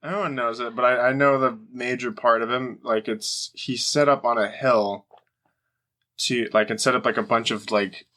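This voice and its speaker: A man's voice: mid-range voice, steady tone, moderate pace, sharp tone. Laid-back man chatting on a podcast. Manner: laid-back, jokey, swears casually. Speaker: podcast co-host. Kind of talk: casual chat, rambling storytelling.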